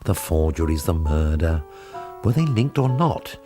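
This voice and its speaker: An actor playing a weary, put-upon art forger on the run in a radio drama, wry and sometimes hesitant. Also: male voice, very deep voice, sing-song, unhurried, dark tone, faint music behind, full character voice. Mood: brooding. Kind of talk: radio drama acting and first-person narration.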